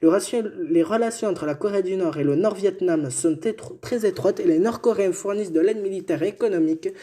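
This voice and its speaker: Mellow-voiced man reading history notes. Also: sits high. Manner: reads aloud with stumbles and self-corrections, joking asides. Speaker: co-host telling history.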